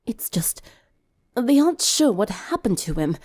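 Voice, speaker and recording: A woman voicing a weary, worried teenage girl in a story reading, speaking in a subdued, halting way that turns breathy. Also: a little high, sing-song, a little mellow, full character voice. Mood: upset, worried.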